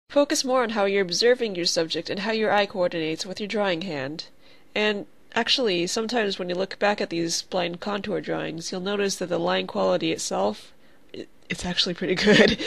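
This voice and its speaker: A woman's voice: medium pitch, no echo. A woman talks through a drawing lesson with a slightly stuffy nose from a cold. Her delivery is loose and chatty, sprinkled with "like" and the odd curse. The recording is dry and close, with no room sound. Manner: casual and profane, with frequent "like". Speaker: art channel host. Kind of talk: casual art tutorial voice-over.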